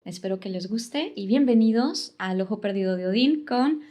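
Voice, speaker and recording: Mellow-voiced woman interviewer. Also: medium pitch, expressive, a little fast. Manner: brief encouraging backchannels. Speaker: interviewer, channel host. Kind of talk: casual interview questions. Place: guest's home.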